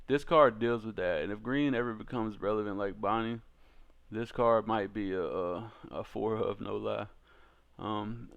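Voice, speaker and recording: A man's voice: on the low side, clear, resonant tone, muffled recording. A man giving casual, slangy commentary as host of a card game video, talking informally to his viewers.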